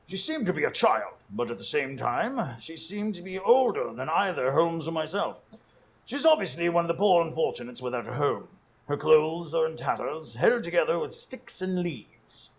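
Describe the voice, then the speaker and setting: A man acts an older detective's sidekick telling the story in a radio play. His somewhat high voice crackles with fry as he describes things with wry care. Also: sing-song, normal speed. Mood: grave, observant. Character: older male companion of a detective, observant narrator. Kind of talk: dramatic first-person radio narration. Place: performance space in front of a live audience.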